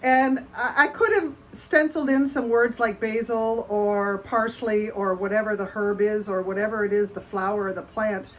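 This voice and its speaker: A woman's voice: medium pitch, steady tone, normal speed, few pauses, low-quality recording. A woman with a Canadian accent doing a casual live show-and-tell as a potter, chatty and rambling, often breaking off mid-sentence. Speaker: potter hosting a livestream. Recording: noticeable background noise.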